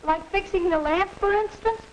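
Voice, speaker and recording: A man's voice: very high-pitched, moderate pace. A young male actor playing an earnest schoolboy son in a 1950s educational film, plain-spoken, on a crackly old soundtrack. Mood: puzzled, earnest.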